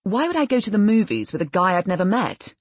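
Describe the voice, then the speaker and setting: A woman's voice: mid-range voice, sing-song, fast talker, no echo, studio quality, pitched above the performer's own voice. A woman narrator playing a wry, defensive forty-year-old female novelist, questioning and indignant, in audiobook dialogue. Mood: incredulous.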